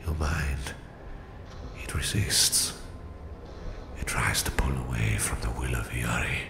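A man playing a menacing, domineering Soviet psychic supervillain with a Russian accent in a slow, condescending ASMR roleplay, his voice whispery and gravelly. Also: a bass voice, roomy sound, background noise, heavily acted. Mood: cold threat.

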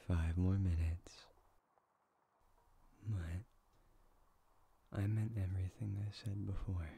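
A man playing a tender, playful young boyfriend in an intimate ASMR roleplay, speaking in a soft, breathy, hushed voice that often slips into whispers. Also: fairly low pitch, heavily acted. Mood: teasing, affectionate.

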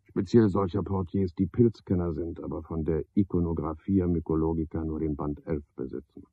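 An actor playing a pedantic, misanthropic night porter in a radio drama, a man with a husky, gravelly voice and a pompous, sardonic manner. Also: extremely low pitch, fairly even intonation, normal speed, no echo, full character voice. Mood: brooding, dreamy. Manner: pompous, sardonic, ornate wording. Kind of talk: 1970s German radio drama monologue. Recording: dull, dry 1970s radio recording.

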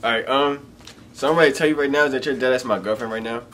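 A man's voice: mid-range voice, fast talker, a bit bright, slight background noise. A young man from Florida, joking and teasing in a casual video chat with strangers, laughing often. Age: young adult. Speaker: YouTuber. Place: small indoor room.

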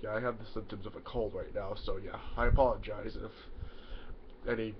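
A man's voice: fairly low pitch, fairly even intonation, a little fast. A young man with a stuffy nose from a cold, talking casually to camera in a small bedroom and rambling with many fillers. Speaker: YouTuber. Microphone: webcam in front of him. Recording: dull lo-fi webcam audio, faint hiss.

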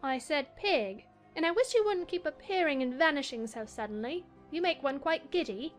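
A woman's voice: high voice, animated, moderate pace, soft background music. A woman playing a curious, polite young girl in a dramatized storybook audiobook, full of questions. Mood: flustered.

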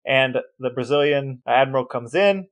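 A man co-hosting a podcast, telling a story in an animated way and acting out quoted voices. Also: medium pitch, normal speed. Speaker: podcast co-host. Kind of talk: casual chat.

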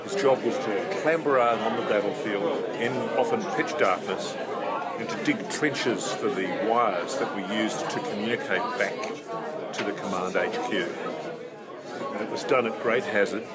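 Man, middle-aged, low pitch, heartfelt talk to camera, very loud crowd behind. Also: normal speed. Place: large public venue by windows.

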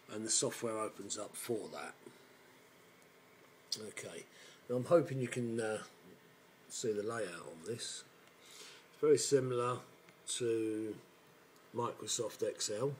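A man walks through a computer program informally, step by step. His delivery is halting, and the homemade camera-microphone recording carries faint noise. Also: lowish voice. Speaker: software demonstrator. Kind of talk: informal tutorial narration. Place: indoor room, filming a computer screen.

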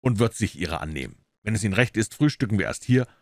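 A cool, incisive gentleman detective performed by a male audiobook reader. He is low-pitched, courteous and commanding, stressing his points deliberately in a dry recording. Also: big pitch swings, no echo. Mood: assured, brisk.

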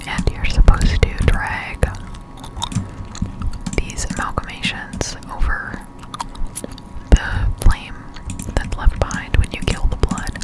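Whispering young woman, close-up ASMR. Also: rough recording. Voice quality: breathy unvoiced whisper. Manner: casual, halting, slangy explanation. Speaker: gaming ASMR streamer. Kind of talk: whispered ASMR gameplay commentary. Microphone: close-up desktop condenser microphone.

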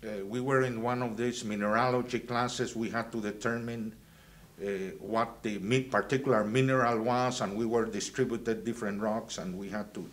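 A man's voice: fairly low pitch, fairly even intonation. Older guy w/ reminiscing about college, lots of uhs and restarts, sitting indoors. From Venezuela, raised in Guatemala. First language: Spanish. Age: 70s. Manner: halting, many fillers and restarts, gestures while talking. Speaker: alumnus interviewee. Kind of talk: reminiscing interview. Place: indoors, plain backdrop.

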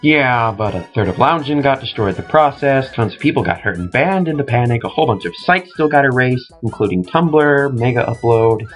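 A man's voice: mid-range voice, fairly quick, few pauses, soft background music, lightly acted. A man playing a sardonic, grumbling sidekick with sarcastic delivery in an amateur comedy radio drama. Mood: wry. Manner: sarcastic, animated.

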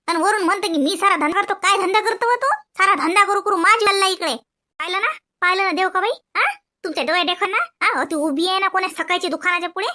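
Woman doing a naggy village mother-in-law, super high sharp voice. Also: full character voice. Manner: shrill nagging, keeps barking "हा?". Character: loud scolding village mother-in-law, quick-tempered.

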